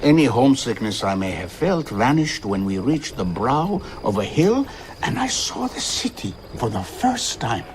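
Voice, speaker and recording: An actor playing an idealistic older traveller and philosopher in an audio drama, speaking in a measured, reflective way. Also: male voice, medium pitch, very animated, background noise, lightly acted. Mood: wistful. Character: worldly old male traveller-philosopher, idealistic and earnest.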